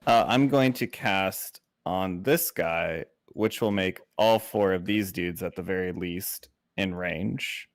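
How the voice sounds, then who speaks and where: A man's voice: low voice, gravelly, no room sound, clean studio sound. A man chatting casually as a player in a tabletop game.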